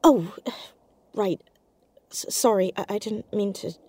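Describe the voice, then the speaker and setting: An actress playing an earnest, caring teenage girl in an audio drama, speaking in a hesitant, halting, naturalistic way. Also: medium pitch, mellow, slightly rough. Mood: nervous, reassuring. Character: earnest, awkward, caring teenage girl amateur sleuth, hiding in fear.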